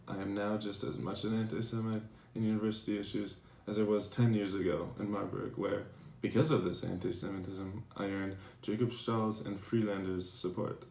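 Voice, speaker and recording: A man playing a defensive, aggrieved professor in a dramatized letter reading, speaking in a formal, measured way. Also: fairly low pitch, flat delivery, vocal fry, darkish tone, slight background noise. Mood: insistent. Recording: roomy, echoing recording.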